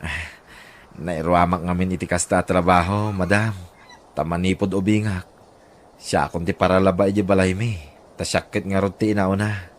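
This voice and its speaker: A man's voice: deep voice, little pitch movement, moderate pace, pauses often, lightly acted. A man with a northern Philippine accent playing a humble, hardworking father in a radio drama, deferential and earnest. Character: humble, hardworking widowed father, laundryman and vendor.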